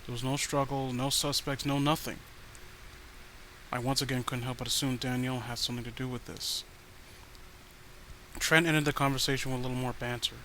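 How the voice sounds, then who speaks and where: Bright-voiced man reading a horror story. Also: medium pitch, fairly even intonation, fairly quick, pauses often, poor audio. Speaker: story narrator. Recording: faint background noise.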